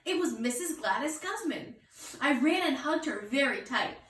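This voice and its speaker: High-voiced woman reading a story, echoey room. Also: moderate pace. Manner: expressive storytelling. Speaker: teacher reading aloud. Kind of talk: children's story read-aloud. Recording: roomy echo, distant mic.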